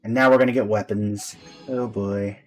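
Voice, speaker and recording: A man's voice: lowish voice, fairly even intonation, normal speed. A man giving casual live-stream game commentary as the host, with a dry, resigned way of talking. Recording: music playing behind the voice. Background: background music.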